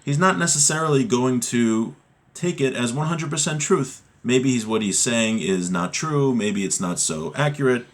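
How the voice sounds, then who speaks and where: A man's voice: mid-range voice, animated, moderate pace. A man giving a religious lesson as a teacher, explaining a text and often posing rhetorical questions to check understanding.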